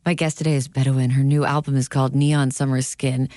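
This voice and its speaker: Low-voiced woman radio host. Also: level tone, a little fast, dark tone, dry recording, studio quality. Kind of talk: broadcast interview.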